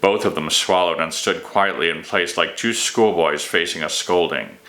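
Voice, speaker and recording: A man reading his own fantasy novel aloud in an expressive storytelling style. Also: low-pitched, moderate pace. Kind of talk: expressive audiobook-style narration of fantasy fiction.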